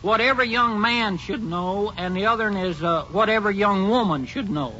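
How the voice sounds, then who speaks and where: A man's voice: high-pitched, brisk, sharp tone, a little hiss or hum. A man playing a folksy, lazy, wisecracking ranch-hand sidekick who complains, in old radio western comedy style. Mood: muttering, joking. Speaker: comic sidekick. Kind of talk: 1940s-50s radio western comedy acting. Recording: muffled vintage radio recording.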